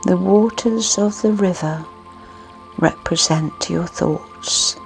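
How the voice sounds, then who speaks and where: A woman narrating a scripted guided sleep meditation in a soothing, hushed manner with long pauses. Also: lowish voice, gravelly, rough recording. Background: music.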